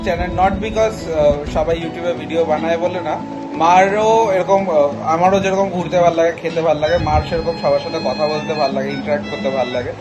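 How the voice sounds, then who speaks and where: A man's voice: fairly high pitch, fairly even intonation, brisk, muffled recording, over music. A young man, chatting informally to viewers and mixing in English words. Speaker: cameraman and editor of a family vlog. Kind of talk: casual chat.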